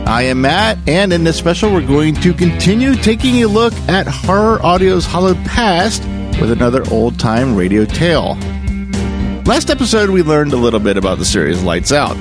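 A man hosting a show, reading a scripted introduction to old horror radio with theatrical, deliberate emphasis. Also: a little high, big pitch swings, music in the background. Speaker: podcast host.

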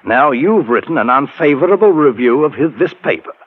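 A husky male voice plays a worried friend in old radio horror, grave and hesitant as he warns. The delivery is animated and ominous, heard through a very tinny, narrow vintage recording. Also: a little high, a little fast. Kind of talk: 1940s radio horror acting.

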